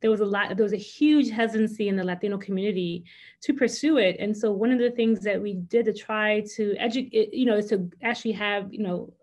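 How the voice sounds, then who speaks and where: A woman's voice: medium pitch, brisk. A woman physician who is a native Spanish speaker, sharing practical advice on a panel over a video call from her office. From United States. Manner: practical, animated hand gestures. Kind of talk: panel discussion answer. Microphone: video call, laptop camera.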